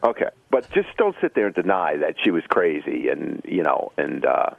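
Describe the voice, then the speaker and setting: A man chatting casually with strong opinions, halting but insistent, over a phone. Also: very deep voice, sing-song, creaky, no room sound. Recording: tinny, phone-like line.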